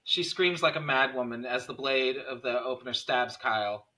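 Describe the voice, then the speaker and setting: A man's voice: mid-range voice, moderate pace, pushed and bright, low-quality recording. A man reading the stage directions of a horror screenplay as scripted narration.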